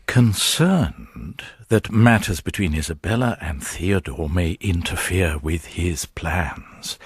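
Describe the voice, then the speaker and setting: An actor playing a grave storyteller, narrating a gothic radio drama in a measured, suspenseful way. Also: male voice, lowish voice, husky, no echo, clean studio sound, heavily acted.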